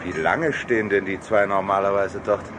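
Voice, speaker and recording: A man's voice: sits low, fairly even intonation, normal speed, noisy background, natural voice. An actor playing a stern, irritated well-to-do father and company director in a 1960s German radio play. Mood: taken aback.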